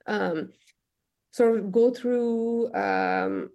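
A woman's voice: mid-range voice, huge pitch swings, very slow, mellow, muffled recording. A young woman from Pakistan, a novelist answering radio interview questions thoughtfully, with lots of ums and restarts.

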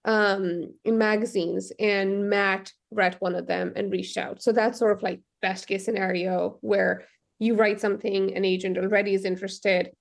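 Gravelly-voiced woman. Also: mid-range voice, fairly even intonation, normal speed. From Pakistan. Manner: thoughtful, many ums and restarts. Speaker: novelist, radio guest. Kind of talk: radio interview answers.

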